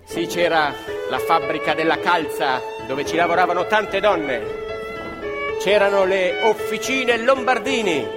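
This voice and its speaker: High-voiced man narrating over loud violin music. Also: unhurried. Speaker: stage actor and narrator. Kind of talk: theatrical scripted storytelling for radio theatre. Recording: live violin and music behind.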